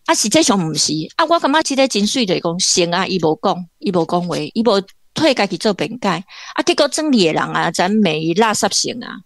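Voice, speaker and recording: A woman's voice: mid-range voice, very animated, brisk, no room sound. A woman with a Taiwanese accent giving conversational literary commentary in an explanatory way, like a guest academic.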